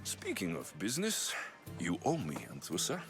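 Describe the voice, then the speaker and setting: An actor playing a confident, wry mercenary warrior hero, blunt and dry, in a video game cutscene. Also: male voice, low voice, wildly swooping pitch, normal speed, music in the background. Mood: wry, relaxed.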